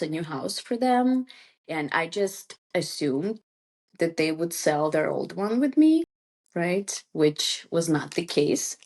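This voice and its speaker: A woman whose first language is Russian, chatting casually and informally as a real estate agent being interviewed. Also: fairly low pitch.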